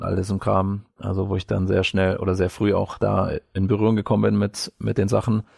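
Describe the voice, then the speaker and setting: A man from northern Bavaria telling his life story as an interview guest, halting as he searches for words. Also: low voice, creaky, a little mellow, dry recording, studio quality. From northern Bavaria, Franconia, Germany. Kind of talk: personal life story in conversation.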